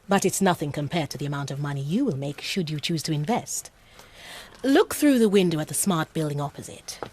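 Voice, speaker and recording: A woman's voice: deep voice, animated, fairly quick, darkish tone, a little hiss or hum. An actress playing a self-assured British female con artist in a radio drama, poised, persuasive and businesslike. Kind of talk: naturalistic radio drama acting.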